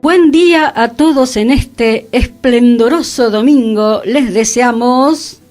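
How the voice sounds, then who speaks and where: Argentine female radio co-host, fairly high voice, slow pace, conversational, faint music bed. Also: darkish tone. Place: radio studio.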